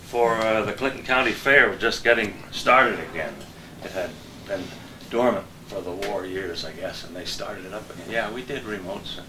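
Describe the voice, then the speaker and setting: Low-voiced older man, echoing and noisy. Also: normal speed. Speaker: veteran local radio broadcaster. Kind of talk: casual reminiscing. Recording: echoing room, distant mic, noisy.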